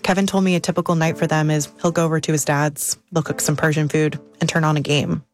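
A woman reporter narrating a documentary news story in a measured, scripted way. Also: low voice, level tone, soft background music.

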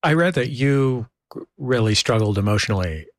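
American radio interviewer, close and dry. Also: male voice, medium pitch, lively intonation, normal speed, dry recording. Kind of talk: conversational arts interview with scripted introduction.

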